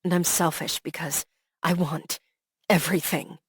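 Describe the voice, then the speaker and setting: A woman's voice: on the low side, fairly even intonation, unhurried, slightly rough, no room sound, clean studio sound. A woman voicing a heartbroken young man who pours out jealous, desperate love, her delivery raw and pleading. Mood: anguished. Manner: raw, pleading, rising to anguished outbursts.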